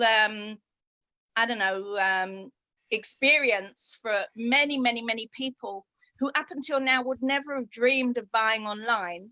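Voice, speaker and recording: A woman's voice: a little high, sharp tone, dry recording. A middle-aged woman from Israel, a copywriter, answers interview questions over a video call in a chatty, upbeat way with frequent ums. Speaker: guest, copywriter.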